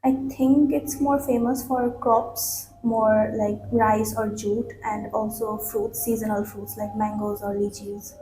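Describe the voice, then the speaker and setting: A woman's voice: mid-range voice, little pitch movement, takes it slowly, hardly any pauses. A young woman from Bangladesh taking a mock English speaking test, answering with many fillers and restarts. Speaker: IELTS mock test candidate. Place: small office room, indoors. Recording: echoey room with faint noise.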